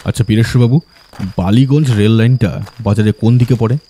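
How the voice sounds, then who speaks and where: A man's voice: mid-range voice, fairly even intonation, brisk, warm tone, slightly rough. A man playing a shrewd, confident detective in an audio drama, giving instructions in a commanding manner. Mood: composed commanding.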